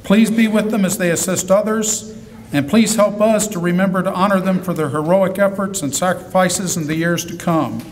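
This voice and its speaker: A man giving a solemn, measured benediction prayer at a college graduation ceremony. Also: high-pitched. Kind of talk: formal spoken prayer. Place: college auditorium. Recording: auditorium sound with long reverb and noise.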